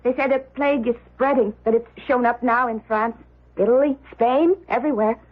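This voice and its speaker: An actress playing a haughty, jealous, sharp-tongued woman in a radio drama, snappish and accusing. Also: on the high side, steady tone, normal speed, muffled recording, lightly acted. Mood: cool.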